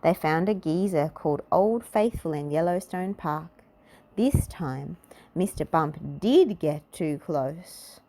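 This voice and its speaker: A woman reads a children's story aloud. Her voice sits a little low, and she tells the tale expressively, in measured storybook narration. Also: lively intonation, mellow.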